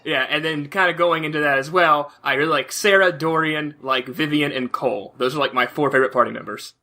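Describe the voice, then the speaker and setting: A man chatting casually as a podcast co-host, halting and repeating phrases as he searches for words. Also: a little high, bright, forceful tone. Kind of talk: casual chat.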